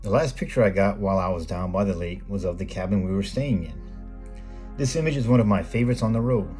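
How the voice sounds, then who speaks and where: A middle-aged American man reading a scripted voice-over in a measured, plain explanatory way, as the host of a photography video. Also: low-pitched, warm tone. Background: background music.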